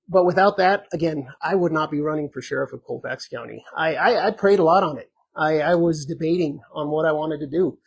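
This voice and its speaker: A man running for sheriff, answering audience questions at a community meet and greet in an earnest, conversational way, hoarse and full of filler ums. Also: a little high, low-quality recording. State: hoarse, losing his voice. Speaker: sheriff candidate.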